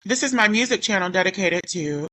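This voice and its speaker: A woman's voice: mid-range voice, lively intonation, fairly quick, pushed and bright, poor audio. A woman recovering from losing her voice, talking indignantly in a commentary vlog and reading her own comments aloud, often correcting herself mid-sentence. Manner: indignant, rambling with self-corrections. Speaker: YouTuber.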